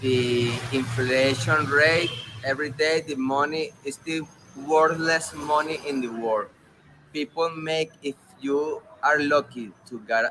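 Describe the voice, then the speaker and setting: A man from Venezuela with a Venezuelan Spanish accent explains things in halting, casual English as an interview guest, repeating words for emphasis. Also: mid-range voice. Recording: clear background noise.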